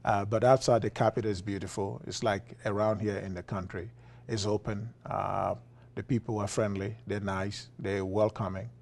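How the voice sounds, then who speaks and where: A man with a Liberian accent, a philosophy professor being interviewed, talking in a relaxed way with frequent fillers and chuckles. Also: fairly low pitch, moderate pace, vocal fry. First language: Liberian English. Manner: chuckling, many uh fillers and restarts. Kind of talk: conversational interview.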